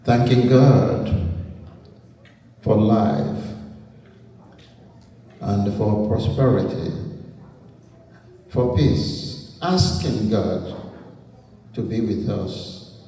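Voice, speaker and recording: A man emceeing a cultural gathering talks slowly in a mellow, relaxed tone, pausing often. He sounds distant, caught by a far microphone in a big hall with long reverb. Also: on the low side. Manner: slow, measured, with pauses and fillers. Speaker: event emcee. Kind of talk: ceremonial speech at a community event. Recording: echoey hall recorded from far off.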